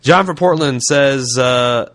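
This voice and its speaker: A man's voice: mid-range voice, takes it slowly, a bit bright, dry recording. A man from Indianapolis with a light Southern accent, hosting a casual podcast and reading listener mail in a frank, profane, joking way. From Indianapolis, USA. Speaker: podcast host.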